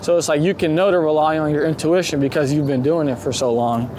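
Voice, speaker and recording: A man's voice: a little high, steady tone, fast. A man talking casually and informally, with some swearing, as a street photographer being interviewed outdoors while walking. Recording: loud outdoor city street noise. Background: traffic and urban street noise.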